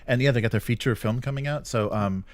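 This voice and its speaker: A man's voice: medium pitch, fast, no echo. A man co-hosting a live stream, chatting casually about gaming news and often chuckling as he talks.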